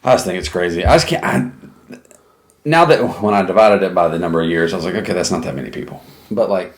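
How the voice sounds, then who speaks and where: A man's voice: sits low, fast talker. An American man co-hosting a casual chat podcast, blunt and sweary, often questioning what he hears. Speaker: podcast co-host.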